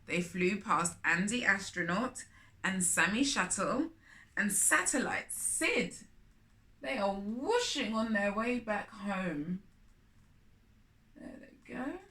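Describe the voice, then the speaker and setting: A woman's voice: mid-range voice, sharp tone. A woman hosting a children's storytime, reading a picture book aloud expressively with chatty asides.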